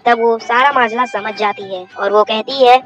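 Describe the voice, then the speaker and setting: A woman narrates a scripted children's bedtime tale. Her voice sits fairly high, and soft music plays behind it. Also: normal speed. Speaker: story narrator.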